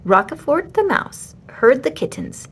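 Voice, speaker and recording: A woman reading a children's story aloud as an expressive storyteller. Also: high voice, measured pace.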